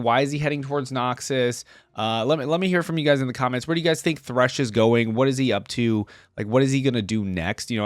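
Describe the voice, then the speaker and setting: A man's voice: medium pitch, dry recording, clean studio sound. A man hosting a reaction video, giving casual, rambling gaming commentary as he thinks aloud.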